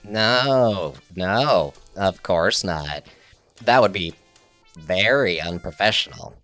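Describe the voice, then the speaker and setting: A man's voice: deep voice, lively intonation, slow, a bit bright, faint music behind, heavily acted. A man playing a grumpy, sarcastic service technician in an audio drama, with a grudging way of talking. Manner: sardonic, grudging. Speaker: garage technician.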